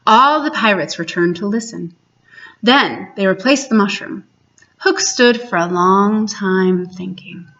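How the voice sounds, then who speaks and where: A woman storyteller reading a children's bedtime story aloud in a measured, expressive way with dramatic emphasis. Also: mid-range voice, lo-fi sound. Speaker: library storyteller, host.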